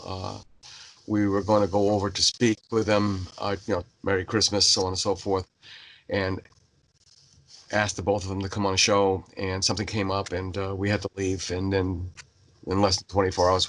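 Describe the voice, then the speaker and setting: A middle-aged man with a fairly low voice hosts a casual conversation. He meanders, piling up fillers and restarts as he thinks aloud. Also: fairly bright tone. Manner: rambling, hesitant with many fillers. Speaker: host. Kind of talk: casual interview chat.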